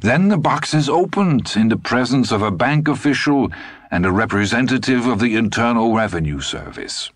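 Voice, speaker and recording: A man putting on a sneering old villain in a bathrobe, his thick as he drawls his words out slowly and with menacing politeness. The voice sits higher than the narrator's own but keeps a dark, dry, close sound. Every line drips with smug menace. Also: expressive, no room sound, lightly acted. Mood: gloating. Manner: slow, mocking, menacingly polite. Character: sly, cruel old German man in a bathrobe.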